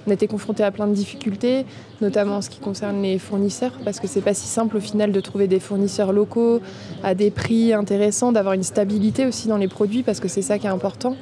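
A woman's voice: medium pitch, fairly even intonation, fast, warm tone. A young woman, co-manager of a restaurant, talking in a casual radio interview on an outdoor terrace, with many fillers and restarts. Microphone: table mic on stand.

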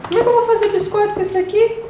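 A young woman talks to her viewers while she cooks, high-pitched and chatty, sounding fed up with the recipe. She is recorded in a home kitchen on the camera's mic, with a noticeable echo and faint clatter from the cooking. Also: fairly even intonation, racing, background noise. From Brazil. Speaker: channel host. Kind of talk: casual cooking vlog chat.

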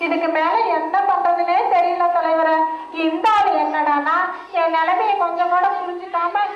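Woman doing a very high-voiced, emotional village wife, scolding; echoey, dull puppet-show sound. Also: steady tone, normal speed. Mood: lamenting. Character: weary wife and mother, Vimala, middle-aged. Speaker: puppeteer voicing a character. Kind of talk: live puppet show dialogue. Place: outdoor stage performance. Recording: echoey, dull, faint hiss.

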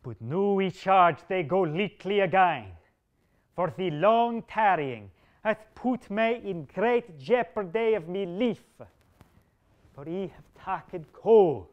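A man's voice: sits high, slow, lo-fi sound. A man voicing a wounded aging king, speaking in solemn archaic phrases and issuing stern commands. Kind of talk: reading a medieval tale aloud.

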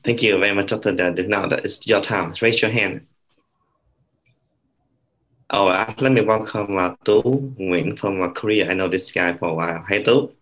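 Low-pitched guy in his 30s hosting a zoom call, upbeat, laptop mic in an office. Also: moderate pace. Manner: upbeat, animated, waves hands. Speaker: host. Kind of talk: hosting a live video call. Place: office indoors. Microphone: laptop webcam mic on video call.

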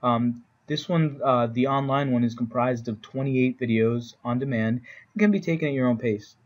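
An American man narrates a training presentation as an instructor. His voice sits at a middle pitch. He explains each point in plain, informal wording, as in a webinar voice-over. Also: moderate pace, highs cut off. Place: voice-over recorded for a slide presentation.

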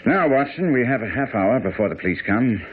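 A man's voice: mid-range voice, animated, fairly quick, lightly acted. A man acting as a self-assured master detective in a radio drama, precise, commanding and didactic. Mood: brisk, instructive. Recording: muffled, noisy radio broadcast.

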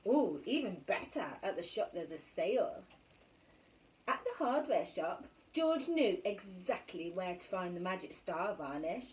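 A woman in her thirties reading a picture book aloud for children, expressive and full of exclamations and questions. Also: medium pitch. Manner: animated, exclaiming, asking rhetorical questions. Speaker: primary school bedtime story reader. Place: small room at home. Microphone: camera a metre or two away. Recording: slightly distant phone camera recording.

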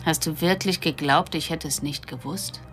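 An actress playing a hurt, bitter wife in a German radio drama, scornful and reproachful. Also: female voice, sits low, moderate pace, natural voice. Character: hurt, bitter wife confronting her cheating husband. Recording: studio radio play with music behind.

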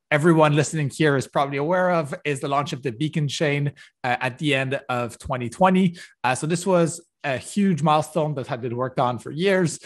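A man giving a technical keynote over a video call, halting with many fillers and restarts. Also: fairly high pitch. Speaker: conference keynote speaker. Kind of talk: technical conference talk. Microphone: video call webcam.

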